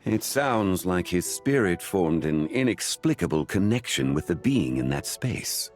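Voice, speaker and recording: A man voicing a refined, composed, scholarly gentleman in a video game, formal and courteous. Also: sits low, sing-song, measured pace, faint music behind. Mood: explanatory.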